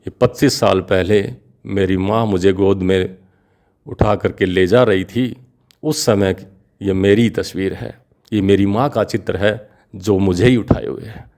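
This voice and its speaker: A man's voice: low-pitched, steady tone, a little slow, a little mellow. A man voicing a remorseful grown prisoner making an emotional, pleading confession.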